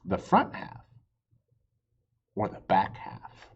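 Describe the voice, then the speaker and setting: A man's voice: slow, lo-fi sound, lightly acted. A man voicing an eager, literal-minded young girl in a storybook, earnest and full of questions. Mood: earnest, puzzled.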